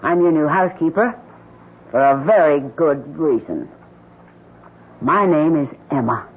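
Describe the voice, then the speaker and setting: Brazen, sardonic housekeeper. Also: female voice, sits low, expressive, husky, heavily acted. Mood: coolly confident. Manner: brisk, sardonic, self-assured. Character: shrewd, brazen, blackmailing housekeeper seeking leverage. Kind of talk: old-time radio drama acting. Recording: muffled, hissy vintage radio broadcast.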